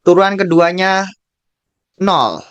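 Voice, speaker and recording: A man with an Indonesian accent tutoring calculus, working slowly through a graph problem, repeating key terms and thinking aloud. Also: sits high, animated, clean tone, lo-fi sound. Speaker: math tutor.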